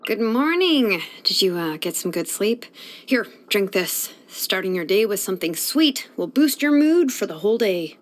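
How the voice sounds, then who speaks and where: Actress as young female game blacksmith, laid-back, drowsy, casual hesitant drawl, mid-pitched, lively intonation. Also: normal speed, natural voice. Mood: drowsy, easygoing. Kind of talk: English video game voice acting.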